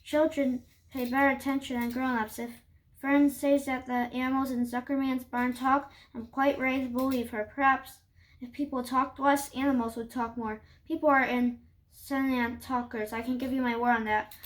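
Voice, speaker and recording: A woman reading a children's story aloud, haltingly, with stumbles and restarts. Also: high voice, level tone.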